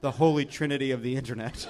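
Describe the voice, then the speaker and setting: A man's voice: medium pitch, very noisy. An American man hosting a live comedy panel show, joking in a wry, sarcastic way. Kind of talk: joking panel chat before a live audience.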